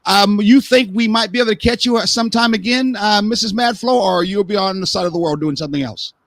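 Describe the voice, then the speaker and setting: A man's voice: high voice, fairly quick, vocal fry, fairly bright tone, dry recording. A man hosting a live-stream talk show, speaking earnestly and rambling with repeated words. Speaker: host.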